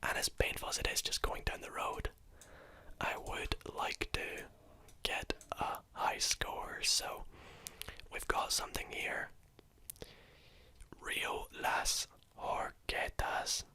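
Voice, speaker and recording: Host, whispered ASMR game commentary: slow, crisp, musing, thinks aloud. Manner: soft, musing, thinks aloud while exploring. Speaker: video host playing a geography guessing game.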